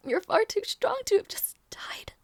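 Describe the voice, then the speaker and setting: A woman playing a gentle young princess in an intimate audio roleplay, devoted and emotional, with a breathy, halting delivery. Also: no room sound, lightly acted. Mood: tender, emotional. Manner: breathy, halting, stammering, often near whisper.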